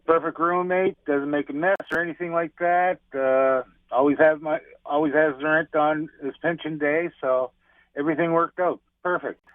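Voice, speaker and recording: A man phones in to a radio show and rambles on, dropping in "you know" again and again. His slightly higher voice sounds dry and thin over a tinny phone line. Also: steady tone, normal speed, dry recording. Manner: rambling, full of you-knows. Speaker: caller.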